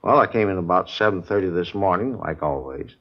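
A man's voice: sits low, animated, fairly quick. An American man playing a talkative, slightly testy supermarket manager in a 1950s radio drama, rambling in a folksy, naturalistic way. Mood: recounting. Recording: muffled vintage radio recording.

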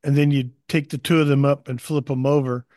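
A man with a medium-pitched voice explains in a casual, step-by-step way. His recording is dry, with no room to it. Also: level tone, a little fast, darkish tone, no echo.

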